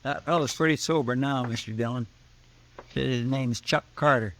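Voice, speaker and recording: A plain-spoken, loyal western deputy, acted by a man in a fifties radio play. He has a medium-pitched voice with a rural American drawl and sounds earnest and respectful. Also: moderate pace, fairly bright tone, slight background noise, natural voice. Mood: earnest, curious. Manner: folksy drawl, deferential, earnest. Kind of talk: 1950s radio drama acting.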